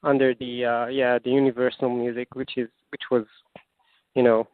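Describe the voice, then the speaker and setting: A man's voice: medium pitch, flat delivery, no echo. Israeli man on a tinny phone line. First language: Hebrew. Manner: halting, many fillers and restarts. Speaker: singer-songwriter guest. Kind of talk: casual interview answers.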